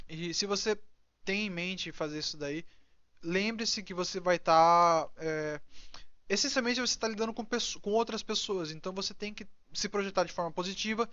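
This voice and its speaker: A young man from Brazil talks to his viewers in a relaxed, rambling way, often stopping mid-sentence and starting over. His voice sits fairly high. The sound is dry and a little muffled, recorded indoors. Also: no echo. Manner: rambling, many restarts and fillers. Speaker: vlogger. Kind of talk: casual advice monologue.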